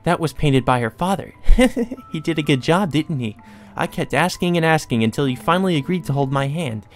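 A man plays a deranged teenage sister in a gothic game, pitched high above a normal male voice. She taunts with sweet cruelty, drawing out her coaxing words theatrically over background music. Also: animated, normal speed, lightly acted. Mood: sweetly taunting. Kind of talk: acted reading of a visual novel game.

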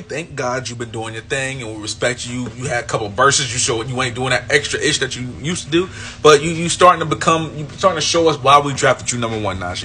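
A young man giving a casual, animated post-game review as a sports fan, rambling with frequent restarts. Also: mid-range voice, a little hiss or hum.

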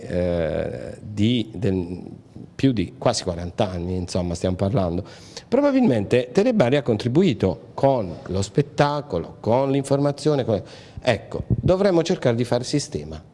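A man's voice: lowish voice, big pitch swings, measured pace, warm tone. A middle-aged man, a producer talking casually into a handheld mic, joking and rambling with many fillers. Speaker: show producer. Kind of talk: casual interview talk. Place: indoor hall. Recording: reverberant hall.

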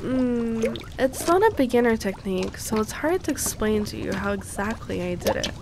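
Woman doing a cute young alchemist girl for asmr roleplay, high soft voice, gentle n teasing, liquid pouring and noise behind. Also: animated, creaky. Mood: playful, cozy. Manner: soft, soothing, unhurried, playfully reassuring.

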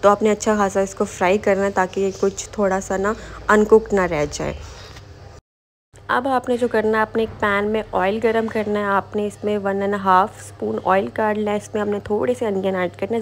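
A woman's voice: medium pitch, steady tone, normal speed, a little hiss or hum. A woman giving a casual step-by-step recipe voice-over as a home cooking host, informal and repetitive, mixing in English kitchen words.

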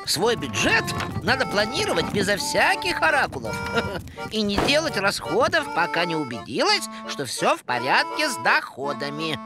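A woman's voice: medium pitch, huge pitch swings, normal speed. A woman voicing a spirited, bossy, motherly owl lady in a cartoon, theatrical and instructive. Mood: lecturing. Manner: theatrical, instructive, prone to chuckling. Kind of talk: animated cartoon voice acting. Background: music.

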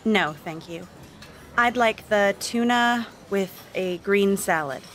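A woman reading a scripted coursebook dialogue as a magazine colleague, clear and polite. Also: mid-range voice, takes it slowly, a bit bright, slight background noise. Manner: clear, polite and matter-of-fact.

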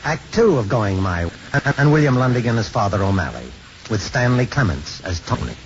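A man's voice: mid-range voice, sing-song, a little fast. A man announcing in 1950s radio style, reading scripted narration. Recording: muffled 1950s radio broadcast. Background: loud music.

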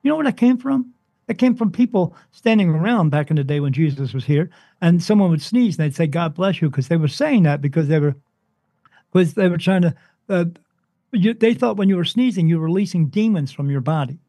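A man from New Jersey, a spirit medium interviewed as a guest, talking emphatically and insistently, his voice soothing and deep. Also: lively intonation, a little fast, mellow, dry recording. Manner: emphatic, insistent, swings up in pitch when stressing points. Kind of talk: interview, impassioned preaching monologue.

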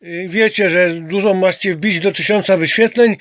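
A man's voice: high voice, normal speed, a bit bright, no room sound. A man hosting a casual homemade interview, talking in a playfully mock-formal way with repeated slangy plugs for his channel. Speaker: amateur channel host interviewing a companion. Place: small home computer room.

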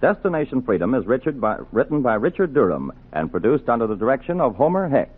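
Male announcer, 1940s radio, formal declamation, animated pitch, phone-like old broadcast sound. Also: quick pace. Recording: tinny, band-limited 1940s radio broadcast.

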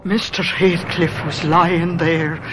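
Earnest housekeeper telling a gothic tale, music behind. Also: female voice, lowish voice, steady tone, slow, rough recording. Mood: alarmed, hushed. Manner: earnest, storytelling. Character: concerned, loyal housekeeper recounting a gothic tale. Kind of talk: radio drama narration and acting.

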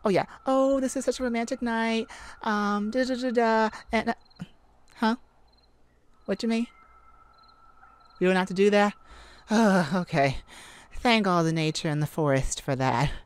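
A woman playing an excitable, affectionate mage sweetheart in a romantic ASMR roleplay, with a gushing, easily flustered, rambling manner. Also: mid-range voice, normal speed, pauses often, clean tone, close to an everyday voice.

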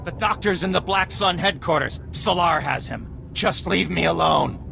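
A man voicing a spiteful, cowardly gangster thug in a video game, with a gravelly voice. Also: on the high side, normal speed, sharp tone, soft background music, full character voice. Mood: defeated, bitter. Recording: clear background noise under dialogue.

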